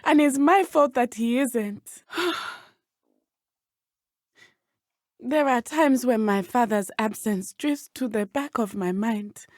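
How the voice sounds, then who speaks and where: A woman's voice: a little high, measured pace, no room sound, clean studio sound, close to an everyday voice. A woman playing a brave, earnest teenage witch in a video game, with a southern African accent and formal, precise phrasing. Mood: sorrowful, reflective. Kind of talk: video game character dialogue.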